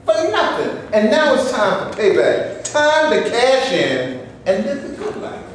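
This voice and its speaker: A man's voice: very high voice, big pitch swings, measured pace, heavily acted. An actor in a staged reading, playing an impatient, fed-up man who speaks in an impassioned, indignant way. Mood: defiant. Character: fed-up, impatient man demanding his share. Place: theater before a live audience. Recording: echoey room, faint background noise.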